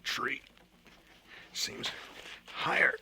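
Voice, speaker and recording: An actor playing a weary, obsessed colonial hunter, muttering in a radio drama. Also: male voice, extremely slow, pushed and bright, a little hiss or hum, heavily acted, low-quality recording. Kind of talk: 1980 radio drama acting.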